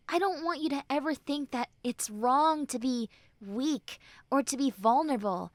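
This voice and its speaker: Casting: female performer as tsundere young woman, high voice, unhurried and halting, prickly yet tender, intimate roleplay audio. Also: dry recording. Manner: halting, sighing, informal delivery. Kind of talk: roleplay audio acting addressed to the listener.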